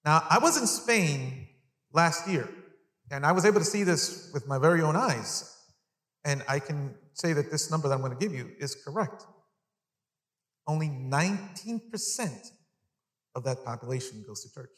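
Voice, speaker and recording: A man's voice: fairly high pitch, expressive, normal speed, pauses often, a bit bright. A 55-year-old man from Long Island, New York, preaching conversationally to a congregation, repeating phrases for emphasis and putting questions to his listeners. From Long Island, New York, United States (born in El Salvador). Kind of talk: sermon to a live congregation. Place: large indoor church hall, speaking to an audience. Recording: echoey hall with long reverb.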